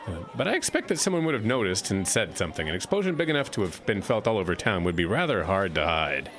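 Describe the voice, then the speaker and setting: A man's voice: fairly low pitch, sing-song, quick pace, a bit bright, some chatter behind. A man playing a wry, easygoing science teacher in an audio drama, explaining things with hesitant starts. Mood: musing.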